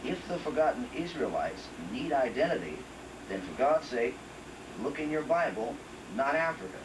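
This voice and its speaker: A man hosting a radio talk show, measured, introducing his guest and reading call-in details. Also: medium pitch, sing-song, far from the mic, noisy background. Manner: measured, reads call-in numbers and station lines.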